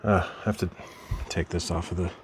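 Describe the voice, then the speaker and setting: A man streaming from his craft room at home, chatting casually while he works, rambling and correcting himself in a mild, low-key way. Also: fairly even intonation, moderate pace, a little hiss or hum.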